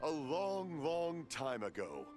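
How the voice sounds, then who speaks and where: A man's voice: on the high side, very animated. A man playing a genial, hard-of-hearing old fireworks craftsman in an anime video game, rambling and jolly. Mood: dreamy storytelling. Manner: rambling, jolly, slow to catch on. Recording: game music behind the voice.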